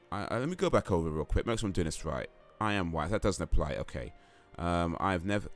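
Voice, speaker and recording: A man hosting a livestream, chatting casually with viewers and reading quiz statements aloud, rambling and hesitant with lots of fillers and restarts. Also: deep voice. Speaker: livestream host.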